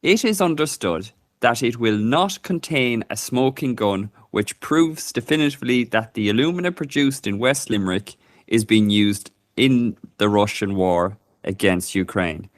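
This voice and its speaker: A man's voice: medium pitch, a little slow, no room sound, studio quality. A man co-hosting a casual live stream, speaking hesitantly and reading a news report aloud. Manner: hesitant, many fillers and restarts. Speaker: co-host.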